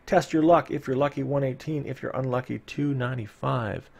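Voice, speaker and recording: A man's voice: mid-range voice, brisk, vocal fry, breathy edge. Playful man reading a gamebook live. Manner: playful, informal, dramatic when reading. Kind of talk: live storytelling read-aloud with casual asides.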